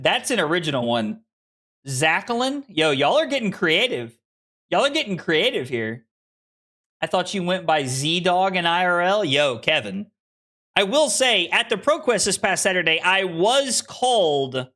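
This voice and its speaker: Bright-voiced streamer in his late twenties. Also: a little high. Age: 20s. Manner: rambling and self-deprecating, frequent restarts. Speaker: card game streamer. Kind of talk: casual live-stream chat with viewers.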